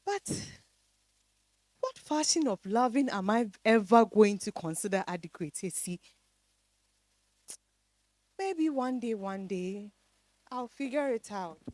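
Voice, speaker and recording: Conflicted wife played by a woman, Ghanaian accent, hesitant, higher voice, close dry sound, stage drama. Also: animated, slow, pauses often, darkish tone, no room sound. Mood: reflective, resigned. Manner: hesitant, reflective. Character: troubled, conflicted wife, quietly resigned.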